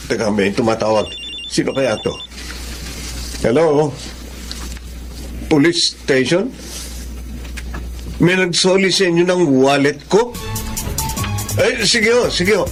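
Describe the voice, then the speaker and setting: A man playing a genial, grateful well-off man in a Filipino radio drama, brisk and conversational. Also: on the high side. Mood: casual, puzzled, then relieved, urgent.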